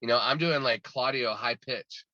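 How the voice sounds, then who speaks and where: A man's voice: medium pitch, normal speed, sharp tone, no room sound, dull audio. A man talking casually as an interview guest, rambling and restarting his sentences often. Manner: talkative and rambling, many restarts. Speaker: guest musician.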